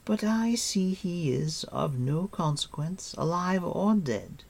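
A cruel enchantress queen from a fantasy storybook, acted out by a narrator. The voice is raised high and drawn out, often slipping toward a breathy hush. It is imperious, coaxing and full of menace. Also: expressive, slow, full character voice. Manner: gentle coaxing turning to menacing hiss. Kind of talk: audiobook character voice.

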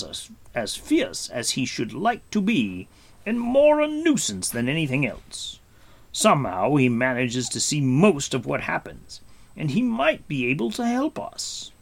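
A man's voice: a little high, creaky, voice pushed up, full character voice. A man voicing a stern, impatient warrior prince who speaks firmly, in a storybook reading. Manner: firm, impatient, severe.

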